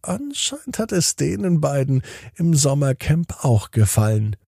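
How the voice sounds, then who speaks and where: A man narrating a scripted bedtime story for children, in short simple phrases with deliberate pauses. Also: fairly high pitch, big pitch swings, creaky, dark tone, dry recording, studio quality.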